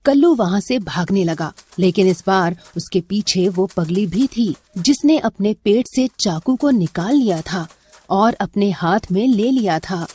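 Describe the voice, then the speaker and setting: A woman's voice: medium pitch, expressive, unhurried, dark tone, husky. A woman narrating a scripted dramatic story as a storyteller.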